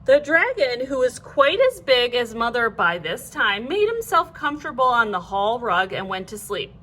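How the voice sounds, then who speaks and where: A woman reading a children's storybook aloud like a teacher, in an animated, clearly phrased way. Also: a little high.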